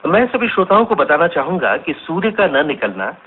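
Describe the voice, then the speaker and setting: An actor playing a courteous, earnest senior scientist, explaining politely and deliberately in a scripted radio drama interview. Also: male voice, fairly high pitch, sing-song, husky. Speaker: studio interview guest. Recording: tinny, band-limited, noisy radio recording.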